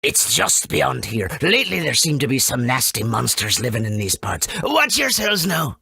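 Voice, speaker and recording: A man voicing an eccentric, excitable rustic guide in a video game, with a gravelly, rambling delivery. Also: mid-range voice, dry recording, studio quality, full character voice. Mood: ominous, cautioning. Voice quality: gravelly, raspy. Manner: halting, rambling, exclamatory. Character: eccentric rustic guide giving ominous warnings, forgetful and excitable.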